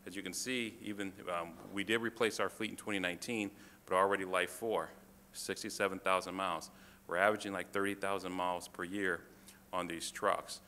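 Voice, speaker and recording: A man presenting to a city council as a fire department official, halting, with many fillers and restarts. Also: deep voice, fairly even intonation, sharp tone. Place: council chamber. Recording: reverberant chamber, faint room noise.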